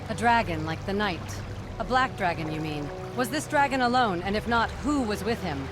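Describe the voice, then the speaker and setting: A woman playing an alert, commanding adventurer in a fantasy game, brisk and questioning. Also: mid-range voice, animated, lightly acted. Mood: alert, urgent. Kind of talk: fantasy game cutscene acting. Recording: music behind the voice.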